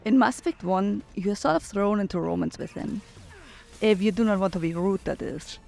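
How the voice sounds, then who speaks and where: A woman's voice: medium pitch, moderate pace, vocal fry, a little mellow, soft background music. A woman giving her opinions in commentary on a video game playthrough.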